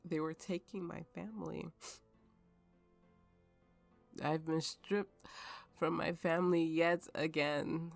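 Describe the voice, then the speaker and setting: Haunted, tipsy swordswoman played by an actress, ASMR roleplay storyteller; slow, creaky delivery, bitter and grieving, dramatic pauses. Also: sits low, fairly even intonation, faint music behind. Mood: bitter grief. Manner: halting, slow, dramatic pauses, dropping toward whispers.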